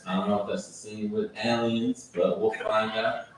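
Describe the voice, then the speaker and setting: A man doing a stand-up set at an open mic night with a calm, laid-back, deadpan delivery. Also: on the low side. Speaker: stand-up comedian. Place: open mic at a bar venue. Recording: echoey room from far off, loud noise.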